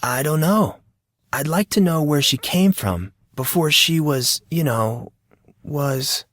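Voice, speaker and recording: A man voicing a curious, earnest fourteen-year-old high school boy with a questioning, hesitant way of talking. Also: animated, slow, a bit bright, no room sound, heavily acted.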